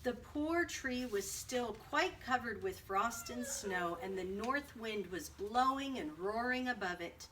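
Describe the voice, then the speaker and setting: A woman narrating a children's story aloud in an expressive read-aloud style. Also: a little high, measured pace, few pauses. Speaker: storybook reader. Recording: distant mic, faint background noise.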